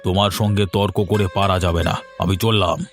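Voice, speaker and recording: A man's voice: deep voice, fairly even intonation, fairly quick, faint music behind, close to an everyday voice. A man playing a grumbling, stingy village farmer husband in a cartoon. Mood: sulky. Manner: grumbling, dismissive. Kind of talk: Bengali fairy-tale cartoon dialogue.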